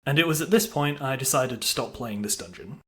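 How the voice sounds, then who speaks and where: A man's voice: medium pitch, lively intonation, fairly quick, bright, forceful tone. Wry man narrating a game review. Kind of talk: scripted video review narration.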